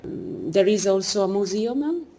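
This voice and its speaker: Italian lady museum director, soft dark voice, hesitant english, bit of background noise. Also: mid-range voice, wildly swooping pitch, creaky. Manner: halting, searching for English words. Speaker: museum director being interviewed. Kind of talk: informal interview. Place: museum cafe.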